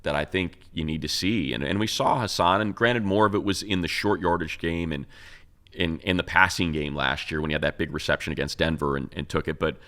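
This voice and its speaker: Very deep-voiced man talking football. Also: fairly quick, bright, forceful tone. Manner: conversational, thinking aloud, repeats himself. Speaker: sports talk show co-host. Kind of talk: casual football analysis.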